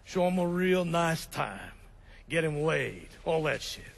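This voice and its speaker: A high-voiced, crude, gravelly mobster. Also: male voice, sing-song, unhurried, heavily acted. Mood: scheming, eager. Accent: American. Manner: crude, streetwise, defensive. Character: crooked, fast-talking mobster, scheming younger-sounding brother of a boss. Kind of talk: video game cutscene acting.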